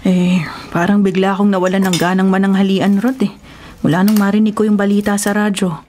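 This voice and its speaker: An actress with a Filipino accent playing a troubled, inquisitive wife in a Tagalog radio drama, concerned and hesitant. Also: female voice, medium pitch, flat delivery, a little fast, darkish tone, slight background noise, natural voice.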